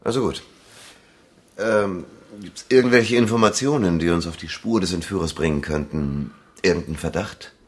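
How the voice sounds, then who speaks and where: An actor playing a weary, probing police inspector in a German radio crime drama, speaking in terse questions. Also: male voice, low-pitched, normal speed. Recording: clear background noise.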